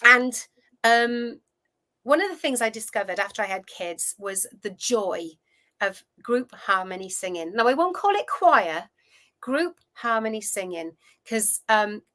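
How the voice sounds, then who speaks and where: A Welsh woman in her fifties, a coach telling her career story in a chatty, animated way as an interview guest. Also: a little high, unhurried. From Wales. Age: 50s. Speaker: guest, food relationship coach. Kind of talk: casual interview chat.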